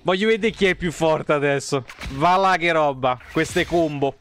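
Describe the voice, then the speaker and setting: A man's voice: on the high side, normal speed, a bit bright, a little hiss or hum. A man, muttering casual, foul-mouthed commentary as he streams a game. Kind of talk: casual live gameplay commentary. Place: small indoor room, streaming setup.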